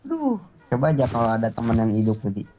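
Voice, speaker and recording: A man's voice: medium pitch, huge pitch swings, fast, mellow. A man, giving casual, urgent, repetitive callouts as a gaming teammate. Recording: game music behind the voice.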